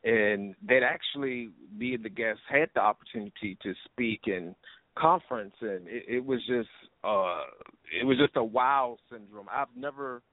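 A man's voice: mid-range voice, normal speed, no echo. A man hosting a radio interview in casual, informal talk, on a thin phone-like recording. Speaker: radio show host. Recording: tinny phone-quality radio audio.

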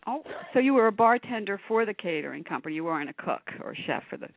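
Woman podcast co-host, bubbly, animated lilting pitch, tinny phone-like line. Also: fast talker. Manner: bubbly, laughs often. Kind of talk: casual podcast interview.

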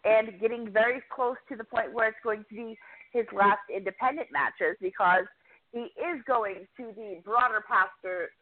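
A woman reporter giving a chatty, enthusiastic recap of a wrestling show, quick to poke fun at herself. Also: a little high. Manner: chatty, enthusiastic, self-deprecating. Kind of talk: casual podcast recap. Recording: tinny phone line.

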